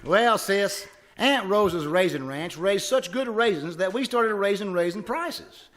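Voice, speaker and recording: A high, sharp-voiced blowhard of a husband played by a man from an old-time radio comedy. He is folksy and cocky, and he blusters through tongue-twisting tall tales. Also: expressive, unhurried, slight background noise. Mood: boastful. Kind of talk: old-time radio comedy acting before a live audience. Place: live stage performance at a winery.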